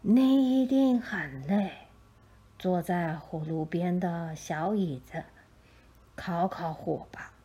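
A woman storyteller plays an old man, gentle and dignified, in a children's storybook. The voice drops low and moves very slowly, drawing out each phrase. The tone is kind and welcoming, soft rather than bright. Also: husky, dull audio. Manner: slow, drawn-out, gentle delivery.

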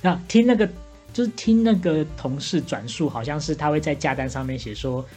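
A Taiwanese woman co-hosts a comic chat podcast in a notably low register. She tells detailed anecdotes at a fast clip, keeping it casual, with faint music playing behind her. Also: dark tone. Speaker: podcast co-host playing bartender. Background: faint background music.

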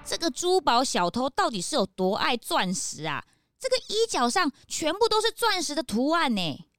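A woman playing an excitable, outspoken young detective's sidekick in a children's audio drama, cute, dramatic and exclamatory. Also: sits high, normal speed, dry recording, studio quality, faint music behind. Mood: suspicious, curious. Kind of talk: children's radio drama acting.

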